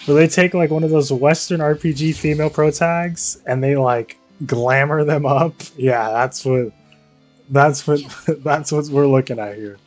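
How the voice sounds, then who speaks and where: Higher-voiced man streaming games, slangy. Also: unhurried, a little mellow. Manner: slangy, sweary, exclamatory. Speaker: game streamer. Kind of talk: casual live game commentary. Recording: game music behind the voice. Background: video game music.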